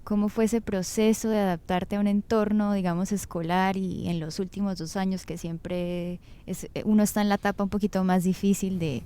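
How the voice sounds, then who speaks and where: Mellow, smooth-voiced woman host. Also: mid-range voice, little pitch movement, moderate pace, hardly any pauses. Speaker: podcast host. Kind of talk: interview questions.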